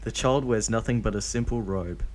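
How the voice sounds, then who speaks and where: A man reads a story aloud in a steady, plain voice. He pitches it low, with a soft, mellow tone. Now and then he slips in a dry joking aside. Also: normal speed. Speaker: reader of fan fiction.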